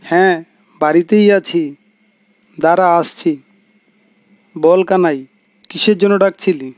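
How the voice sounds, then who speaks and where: A man's voice: high-pitched, takes it slowly, no echo. A man voicing a dutiful, earnest village boy who sounds concerned for his poor family, in a cartoon. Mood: firm, resigned.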